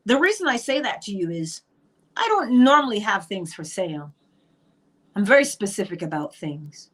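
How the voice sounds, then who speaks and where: A middle-aged Barbadian woman speaks in an emphatic monologue, asking herself questions and answering them. Her voice sits at an ordinary pitch for a woman, and she teaches with a firm, preaching lilt. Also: big pitch swings, normal speed, fairly bright tone. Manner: emphatic, rhetorical questions, acted quotes. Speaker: spiritual teacher. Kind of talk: monologue on manifestation.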